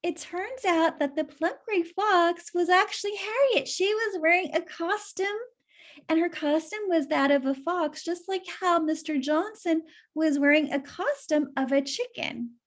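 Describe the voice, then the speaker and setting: A woman's voice: very high voice, measured pace. A woman reading a children's story aloud and explaining it simply, as if to young listeners.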